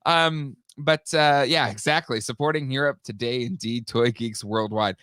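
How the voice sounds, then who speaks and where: A man's voice: medium pitch, moderate pace, a bit bright, dry recording, studio quality. A man in his thirties or forties co-hosting a live toy show, slightly nasal from a cold, chatty and upbeat with many fillers. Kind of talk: casual live-stream chat.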